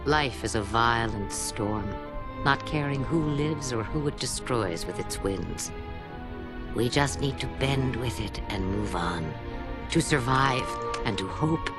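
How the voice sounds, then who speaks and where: Theatrical alien doctor played by a man, swooping pitch. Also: natural voice. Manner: theatrical, storytelling delivery. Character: alien male doctor and believer, eloquent, sardonic. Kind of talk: video game cutscene voice acting. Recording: game music behind the voice.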